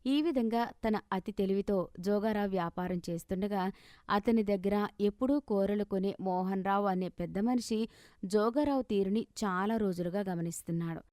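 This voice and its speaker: A woman storyteller reading a scripted moral tale in an expressive, measured way. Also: medium pitch, no room sound, clean studio sound.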